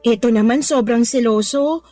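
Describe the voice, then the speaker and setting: A sweet, money-minded kept girlfriend played by an actress in a Filipino radio drama. Her high voice wheedles and placates in a clingy way, with faint music underneath. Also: moderate pace, dark tone, natural voice. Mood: placating. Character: pregnant young mistress, coaxing, sweet, money-minded. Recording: music bed under the dialogue.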